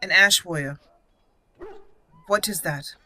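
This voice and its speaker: A woman voicing a solemn, proud female elf warrior in a roleplayed game, speaking formally and deliberately. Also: on the low side, bright, forceful tone, full character voice. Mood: formal, curious. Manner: formal, measured, declarative.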